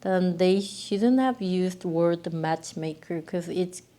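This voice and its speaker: A young woman from Korea, reacting to clips as a student in a thoughtful, hesitant way, trailing off with soft laughs. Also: fairly low pitch, slow pace. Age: young adult. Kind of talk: casual reaction chat.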